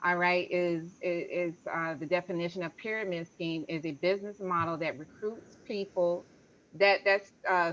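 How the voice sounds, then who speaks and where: A woman on a video call, reading definitions aloud haltingly and explaining them. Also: lowish voice, fairly bright tone. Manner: halting, reads aloud with stumbles. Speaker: call participant. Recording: dull lo-fi call audio.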